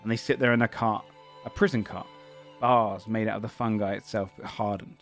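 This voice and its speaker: A man's voice: lowish voice, steady tone, fairly quick, faint music behind. A man narrating a tabletop game as game master, describing the scene in a searching, descriptive way.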